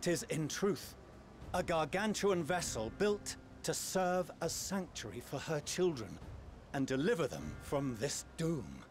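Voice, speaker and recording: An actor playing a dignified, earnest scholar-statesman in a fantasy game, giving grave, deliberate exposition in formal language. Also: on the high side, very animated, a little hiss or hum. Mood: grave revelation.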